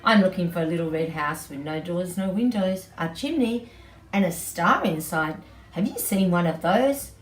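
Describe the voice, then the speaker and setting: A woman's voice: lowish voice, animated, normal speed, natural voice. Lady doing a sweet polite little girl in a kids story, lilting, bit far from mic. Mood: hopeful. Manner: polite, pleading, simple childlike phrasing. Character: eager, polite little girl on a treasure hunt. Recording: distant mic, roomy echo, background noise.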